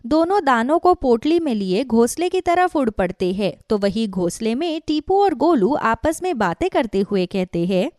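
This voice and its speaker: A woman's voice: a little high, very animated, moderate pace, hardly any pauses, a little mellow, no echo, clean studio sound. A woman narrating a scripted children's cartoon story.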